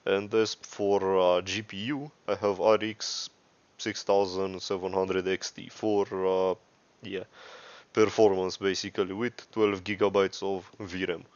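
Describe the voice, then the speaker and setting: A man with a Romanian accent giving a casual, unscripted software tutorial, rambling and hesitant, often correcting himself. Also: sits low, flat delivery, measured pace, dry recording. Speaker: tutorial host. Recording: dry, dull lo-fi microphone.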